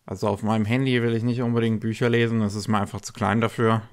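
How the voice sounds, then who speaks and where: A man co-hosting a podcast, talking casually and haltingly, slightly congested from a cold. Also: on the low side, flat delivery, clean tone. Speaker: podcast co-host. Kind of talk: casual news podcast talk.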